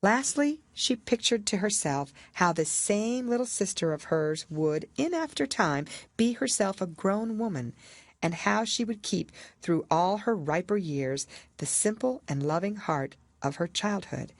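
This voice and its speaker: A woman narrating a children's classic as an audiobook, in a measured, expressive storytelling manner. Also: mid-range voice, no room sound, clean studio sound. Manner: expressive, measured literary reading.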